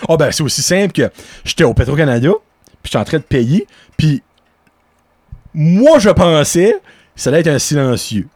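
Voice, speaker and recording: Podcast co-host, man, animated, fairly high voice, dry close recording. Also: normal speed, dry recording. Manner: animated, laughing, mixes English words into French. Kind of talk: casual chat.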